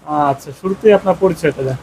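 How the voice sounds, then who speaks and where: A man's voice: a little high, quick pace, dark tone. A man with a Bangladeshi accent hosting a farming programme on location, interviewing and often repeating what he hears back. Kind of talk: on-location interview with a scripted-sounding welcome. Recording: noisy on-location farm recording.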